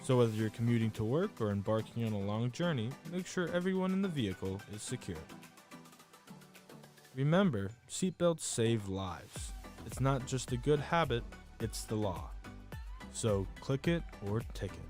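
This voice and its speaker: A man's voice: medium pitch, animated, normal speed, a little mellow, soft background music. A man reading a scripted safety announcement in the style of a public service spot.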